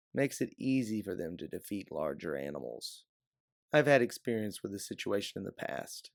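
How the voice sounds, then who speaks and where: A man's voice: lowish voice, vocal fry, no room sound, studio quality, full character voice. A man voicing a masked, capable male rescuer, coolly matter-of-fact, in an audiobook story.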